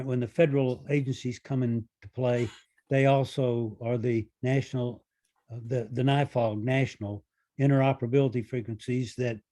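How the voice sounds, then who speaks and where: A man with a mellow, dark voice at a middling pitch puts technical questions to a speaker. His delivery is deliberate and halting. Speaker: audience questioner.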